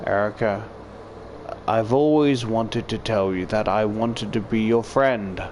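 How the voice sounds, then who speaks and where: A man voicing a shy, earnest female transfer student in a visual novel, reading her letter haltingly and sincerely. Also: fairly low pitch, noisy background, close to an everyday voice. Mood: earnest, confessional.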